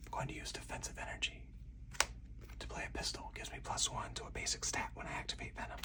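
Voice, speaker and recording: Hushed, whispering card game player. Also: distant mic. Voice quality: breathy, unvoiced whisper. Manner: slow, deliberate, hushed delivery. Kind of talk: ASMR whispered card game playthrough with commentary.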